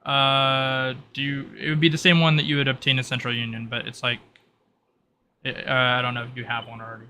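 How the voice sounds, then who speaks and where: A man's voice: medium pitch, little pitch movement, a little fast, sharp tone, slight background noise. A man casually explaining the rules and world of a tabletop game as its game master.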